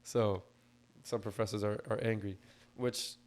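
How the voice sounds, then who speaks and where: A man co-hosting a laid-back podcast talks in a fairly level, even tone with little rise and fall. His voice is a bit hoarse and scratchy, like it's only just coming back. He explains things loosely, with plenty of fillers along the way. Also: fairly low pitch, measured pace. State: hoarse, recovering lost voice. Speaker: podcast co-host. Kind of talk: casual podcast chat.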